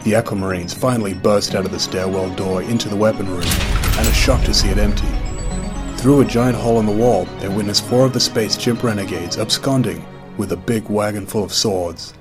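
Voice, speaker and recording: A man's voice: fairly low pitch, fairly quick, over music. A man delivering scripted, mock-epic narration for a radio drama. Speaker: podcast host narrating.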